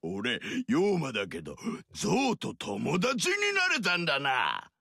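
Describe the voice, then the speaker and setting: An actor playing a simple, cheerful ox-headed demon from a video game, with a gravelly voice and a childlike, excitable way of talking. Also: male voice, fairly high pitch, takes it slowly, no echo, clean studio sound, heavily acted. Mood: delighted. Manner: childlike, bouncy delivery.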